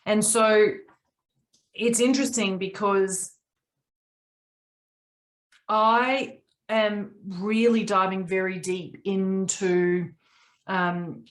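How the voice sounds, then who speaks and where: A woman in her late forties, raised in Papua New Guinea, coaching a group on a video call in an earnest, reflective way with long pauses. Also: medium pitch, fairly even intonation.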